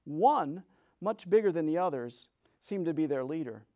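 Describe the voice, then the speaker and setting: A man reading a children's story aloud as a storyteller, in a theatrical, deliberate way. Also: on the high side, expressive, warm tone.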